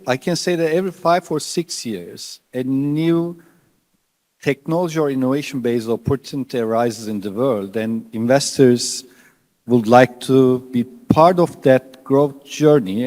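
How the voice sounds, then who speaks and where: A man's voice: medium pitch, darkish tone, long reverb tail. A man on an investor panel, explaining his fund's strategy earnestly with slightly non-native phrasing. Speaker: fund manager panelist. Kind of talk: panel discussion.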